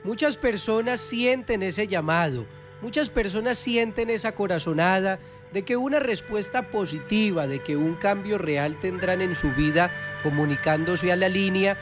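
A man hosting a spiritual healer's radio program, preaching a promotional pitch to listeners over music. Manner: preaching, slow repeated recital of phone numbers.

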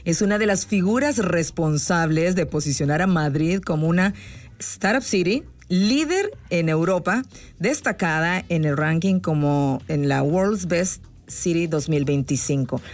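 A woman's voice: fairly low pitch, expressive, measured pace, darkish tone. A woman hosting a conversational podcast interview. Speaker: podcast host.

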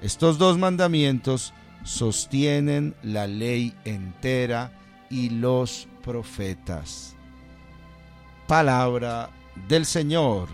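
A man, a priest, gently interviewing a guest about her faith and reading the day's Gospel solemnly. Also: mid-range voice, animated, very slow, faint music behind. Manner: gentle, affirming, reads scripture solemnly. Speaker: priest hosting.

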